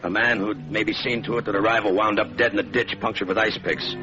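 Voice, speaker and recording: An actor playing a terse, deadpan American homicide lieutenant in an old radio noir drama. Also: male voice, fairly low pitch, fairly quick, music in the background, lightly acted. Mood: dry narration. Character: hard-boiled laconic homicide police lieutenant. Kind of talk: 1940s-50s radio noir acting and narration. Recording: muffled, noisy old radio recording.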